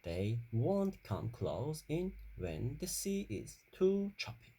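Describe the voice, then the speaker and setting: A man voicing a caring, gently teasing mother in a children's storybook, in a deliberate, plain-spoken way. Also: fairly high pitch, very animated, husky, pitched above the performer's own voice, full character voice. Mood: cautioning.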